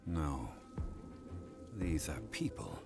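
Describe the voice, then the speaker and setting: A man playing a calm, learned consultant in a video game, speaking formally and with measured composure. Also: a bass voice, creaky, music in the background, exaggerated voice. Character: calm, dignified male consultant of refined knowledge. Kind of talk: scripted video game voice acting.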